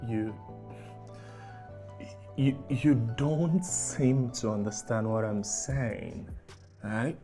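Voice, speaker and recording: A man's voice: sits high, animated, normal speed, soft background music. A man playing a scammer who fakes an American voice on a call, begging and stammering. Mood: pleading. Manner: pleading, stammering, hushed. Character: male scammer faking an American accent on a call.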